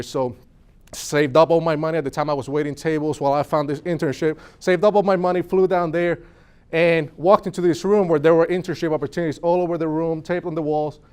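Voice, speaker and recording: Puerto Rican man, smooth motivational speaker. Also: on the high side. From Puerto Rico. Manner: earnest storytelling, asks the audience questions. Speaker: speaker at a business networking event. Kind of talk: motivational talk to a live audience.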